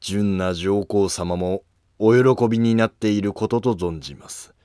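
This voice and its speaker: A loyal, deferential nobleman , acted by a man in an animated period drama. He speaks formally in a low, unhurried voice, concerned and respectful. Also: smooth, no echo, studio quality, lightly acted. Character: loyal, deferential, concerned male court retainer. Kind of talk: Japanese historical animated drama acting.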